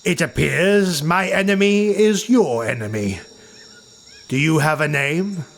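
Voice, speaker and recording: A man voicing a ruthless, imperious highborn dark elf warlord in a fantasy audiobook, curt and commanding. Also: lively intonation, unhurried, vocal fry. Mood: measured, wary. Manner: barking, imperious.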